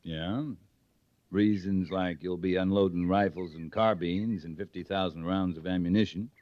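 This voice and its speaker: An actor playing a stern, unflappable cavalry captain in an old radio western, terse and authoritative. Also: male voice, deep voice, fairly even intonation, moderate pace, close to an everyday voice. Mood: commanding. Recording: dull vintage radio recording.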